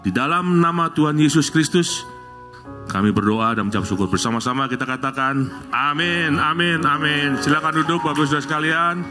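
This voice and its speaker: A middle-aged man from Bali leads a church prayer, then preaches a sermon to the congregation. His talk is lively, rising to loud exclamations and jokes with the congregation. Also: a little high, fairly quick, music in the background. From Bali, Indonesia. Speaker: guest preacher. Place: church service.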